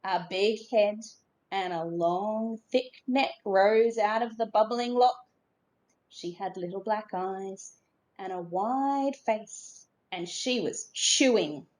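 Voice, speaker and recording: A woman narrating a children's storybook expressively, in a measured way with dramatic emphasis. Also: medium pitch, darkish tone. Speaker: storybook reader.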